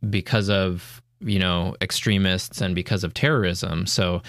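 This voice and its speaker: A man's voice: low-pitched, steady tone, measured pace, a bit bright, dry recording, studio quality. A man from the United States, hosting a podcast and asking questions in a loose, friendly way with frequent laughs. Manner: friendly, laughs often, rambling. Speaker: podcast host. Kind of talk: casual interview.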